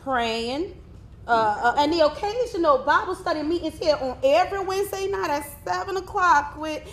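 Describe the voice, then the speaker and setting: An actress playing a middle-aged churchgoing aunt in a stage play, folksy and quick to defend her faith. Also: high voice, a little hiss or hum, natural voice. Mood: playful. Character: churchgoing auntie, devout and defensive.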